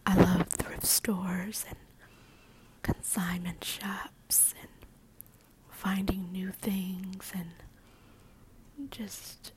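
Slow whispering woman, soft and soothing. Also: medium pitch, low-quality recording. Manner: soft unvoiced whisper throughout; slow, soothing, repeats words fading away. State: nervous. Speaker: podcast host. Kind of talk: ASMR whispered monologue.

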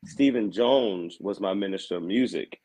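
A man's voice: deep voice, moderate pace, dark tone, slightly rough. A man in his thirties, a vocal coach and assistant pastor, chatting easily as a guest and often saying "man". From Aberdeen, Maryland. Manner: easygoing, laughs often, says "man" a lot. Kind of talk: casual podcast chat.

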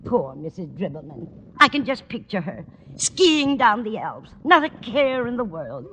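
A woman's voice: mid-range voice, very animated, a little slow, fairly bright tone. A woman playing an anxious, melodramatic older landlady in a 1940s radio comedy. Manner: fretful, melodramatic. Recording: old radio recording, hall reverb, faint noise.

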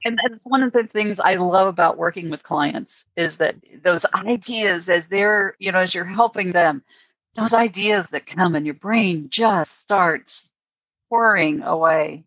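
An older woman hosting a podcast, talking in a measured, friendly way. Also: on the low side, fairly bright tone, dry recording. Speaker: podcast host. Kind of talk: casual podcast chat. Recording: dull dry lo-fi audio.

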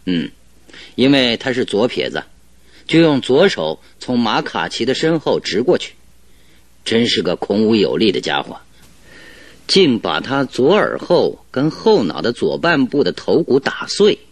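A man's voice: mid-range voice, wildly swooping pitch, unhurried. A man acting in a radio drama as a confident, teasing master detective, with a theatrical, self-assured delivery. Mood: assured, explaining.

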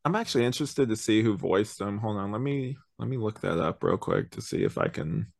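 A man's voice: on the low side, big pitch swings, a little fast, gravelly, a little mellow, dry recording. A man hosting a podcast, chatting casually about a review and rambling as he thinks aloud. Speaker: podcast host.

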